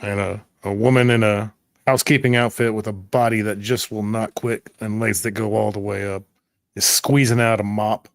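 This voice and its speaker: A man's voice: lowish voice, flat delivery, moderate pace, gravelly, dry recording. Male game master narrating, close and dry. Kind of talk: descriptive tabletop game narration.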